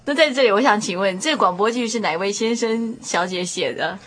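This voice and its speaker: A woman radio host speaks in at an ordinary middle pitch. She presents and puts questions to guests in an interview style. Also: a little fast, a bit bright.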